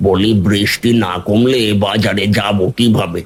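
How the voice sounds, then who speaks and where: A man voicing a weary, grumbling old village uncle in a cartoon, with a drawn-out, unhurried way of talking. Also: fairly low pitch, fairly even intonation, slight background noise, close to an everyday voice. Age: older. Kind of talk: cartoon voice acting.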